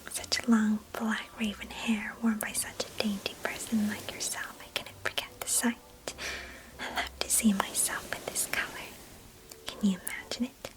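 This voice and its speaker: A woman voicing a chatty, mischievous and affectionate young girl cousin in an ASMR roleplay, in a breathy, intimate whisper close to the mic. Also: medium pitch, flat delivery, moderate pace, lightly acted, rough recording. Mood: tender. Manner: gentle, chatty, storybook-like old-fashioned phrasing. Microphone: close microphone.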